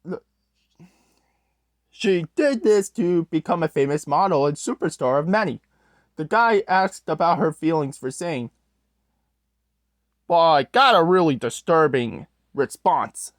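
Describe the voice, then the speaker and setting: Storyteller for creepy internet tale: mid pitch, unhurried, halting, self-correcting delivery, dry sound. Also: lively intonation, no room sound. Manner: halting, corrects own wording mid-sentence. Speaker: host, storyteller.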